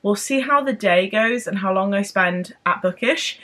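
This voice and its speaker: Bubbly young woman vlogging excitedly. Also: mid-range voice, moderate pace. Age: young adult. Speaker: book vlogger. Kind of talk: casual chatty vlog. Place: home room with bookshelves, later parked car.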